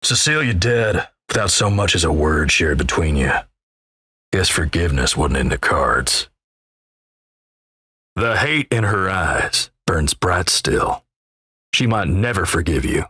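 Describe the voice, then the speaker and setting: Husky, deep Old West storyteller. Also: male voice, animated, bright, forceful tone, no echo, studio quality, exaggerated voice. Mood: somber, judging. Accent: American Western drawl. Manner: folksy, measured storytelling. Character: gruff, folksy Old West storyteller, wry and ominous. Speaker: video game narrator. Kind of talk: dramatic second-person scripted game narration.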